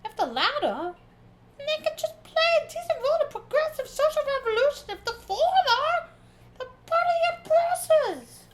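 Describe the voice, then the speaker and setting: Very high, squeaky excitable philosopher. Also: female voice, moderate pace, heavy background noise. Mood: earnest, excitable. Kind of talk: dramatic reading of a debate transcript.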